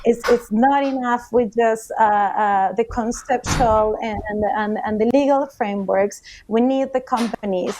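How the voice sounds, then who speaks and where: Halting, earnest woman panelist, higher voice. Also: darkish tone, slight background noise, poor audio. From Latin America. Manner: earnest, halting with frequent pauses. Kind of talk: panel discussion.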